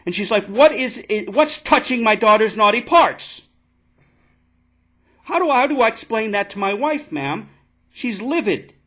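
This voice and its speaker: A man playing an indignant father making an absurd complaint on a prank call, halting and repeating himself insistently. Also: sits high, sing-song, fairly bright tone, full character voice. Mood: indignant, agitated. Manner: halting, repetitive, insistent, addressing listener as ma'am. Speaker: prank caller.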